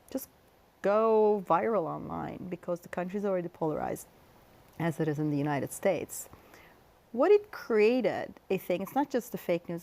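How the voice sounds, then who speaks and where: A woman's voice: mid-range voice, darkish tone. A middle-aged woman from Turkey answering interview questions in a thoughtful, explanatory way, with fillers and restarts. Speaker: guest scholar.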